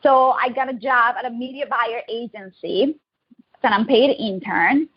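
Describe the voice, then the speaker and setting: A woman in her thirties from Colombia with a Colombian accent, telling her story as a podcast guest in a quick, chatty, upbeat way. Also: on the high side, slightly rough, lo-fi sound. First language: Spanish. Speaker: guest, digital marketing agency owner. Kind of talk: casual interview storytelling.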